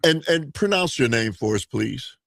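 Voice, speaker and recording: A male radio host interviews a guest with deliberate, halting phrasing. His voice is mid-pitched with a gravelly creak. The sound is close and dry, with very little room in it. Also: animated, fairly bright tone, dry recording. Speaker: radio talk show host. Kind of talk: interviewing a guest on a live talk show.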